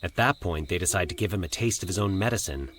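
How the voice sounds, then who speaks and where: A man's voice: deep voice, animated, fast, a bit bright, slight background noise. A man as an uneasy, fussy horror devotee. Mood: careful recounting. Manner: formal, defensive, precise. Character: uneasy, fussy male horror-fan recounting a tale. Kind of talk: horror audio story dialogue.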